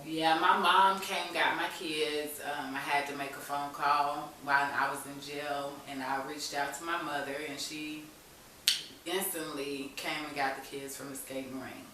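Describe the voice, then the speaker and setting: A woman's voice: low-pitched, fairly even intonation, a little slow. A woman speaking as an interview guest, telling her own story candidly and informally in conversation. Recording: very echoey room, distant mic, faint hiss.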